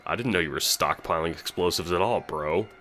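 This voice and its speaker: A man playing a sardonic, foul-mouthed teenage boy in an audio drama, with a deadpan, irreverent manner. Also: low-pitched, fairly quick. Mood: startled.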